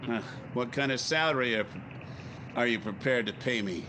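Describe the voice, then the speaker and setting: A man's voice: mid-range voice, normal speed, noisy background, close to an everyday voice. A man playing a brash, driven newspaper editor in his forties, curt and self-assured, in a 1950s radio drama. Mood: brusque, confident. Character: brash, driven forty-year-old male newspaper editor. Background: music.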